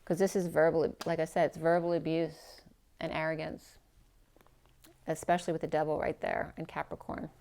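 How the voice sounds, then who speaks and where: A woman's voice: sits low, little pitch movement, moderate pace, creaky, darkish tone. A woman giving a casual tarot reading who is losing her voice. She thinks aloud, trails off and restarts her sentences. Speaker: tarot reader.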